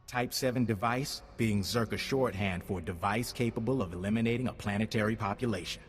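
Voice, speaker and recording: An actor playing a wry, unflappable undercover agent in a video game, casual and confident. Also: male voice, lowish voice, fairly quick, husky, long reverb tail. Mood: wry briefing. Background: music.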